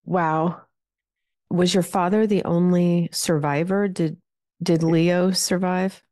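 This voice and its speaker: A woman hosting a podcast, asking questions slowly and gently. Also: on the low side, fairly even intonation, no room sound, studio quality. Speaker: podcast host. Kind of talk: interviewing.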